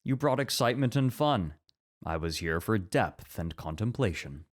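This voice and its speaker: Low-voiced, thoughtful male academic played by an actor, composed and formal, for ASMR roleplay. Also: animated, normal speed, lightly acted. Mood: reflective. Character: composed, introspective, scholarly male college teacher.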